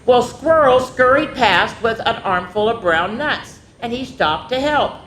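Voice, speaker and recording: Expressive woman storyteller in echoey room. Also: a little slow. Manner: expressive, drawing out words for children. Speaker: children's story-time host and reader. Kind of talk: animated picture-book read-aloud with casual asides. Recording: echoey room with faint rumbling noise.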